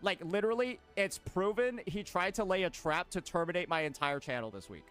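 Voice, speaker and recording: A man's voice: high-pitched, animated, brisk, fairly bright tone, clean tone, soft background music. A man speaking on a stream in an emphatic, indignant way. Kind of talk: streamer talking on a clip.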